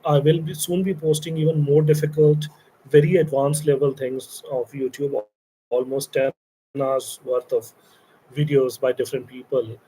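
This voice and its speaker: A middle-aged man from India speaks with an Indian English accent, walking new interns through a program he founded. His delivery meanders, with many pauses and fillers. His voice is warm and mellow, with a faint hum of noise behind it. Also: mid-range voice, little pitch movement, rough recording. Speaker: company founder leading an internship. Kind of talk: recorded orientation talk to interns.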